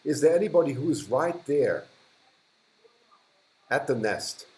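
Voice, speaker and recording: A man's voice: medium pitch, expressive, takes it slowly, darkish tone. Chatty German-accented man in an echoey room. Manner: chatty, laughs often, trails off and restarts. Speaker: livestream host. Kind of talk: casual live chat reading viewer comments.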